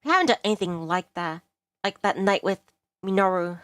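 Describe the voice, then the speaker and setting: A woman's voice: medium pitch, animated, brisk, fairly bright tone, no room sound, studio quality. A woman streamer reading a visual novel aloud, with a dramatic read-aloud delivery. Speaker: VTuber game streamer. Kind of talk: reading visual novel dialogue and narration aloud, voicing characters. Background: game music.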